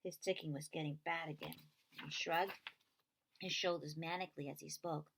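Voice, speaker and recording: A woman's voice: sits low, steady tone, normal speed, gravelly, fairly bright tone, slight background noise. A woman reading a children's novel aloud as first-person narration. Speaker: reader.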